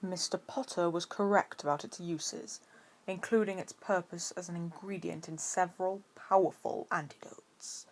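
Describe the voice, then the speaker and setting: A woman voicing a stern, sour male potions professor in a storybook reading, with slow, drawn-out, curt phrasing. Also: fairly low pitch, steady tone. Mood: curt, commanding.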